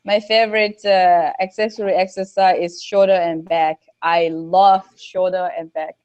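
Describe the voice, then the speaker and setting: A woman's voice: fairly low pitch, flat delivery, measured pace. A woman guest on a podcast, a non-native English speaker with a slight Newfoundland accent, talking casually with many fillers. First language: not English. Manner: soft-spoken, many fillers. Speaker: guest powerlifter. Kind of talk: casual interview chat. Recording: dull lo-fi sound.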